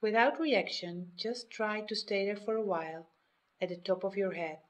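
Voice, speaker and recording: Woman guiding a meditation, measured phrasing. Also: mid-range voice. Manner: measured, instructional, short phrases with pauses. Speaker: mindfulness meditation guide. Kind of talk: guided meditation, scripted narration.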